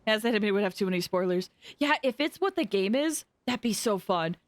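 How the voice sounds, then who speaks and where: A woman's voice: mid-range voice, expressive, brisk, dry recording. A young woman chatting casually and effusively as a game livestreamer, often exclaiming. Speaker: game streamer. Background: game music.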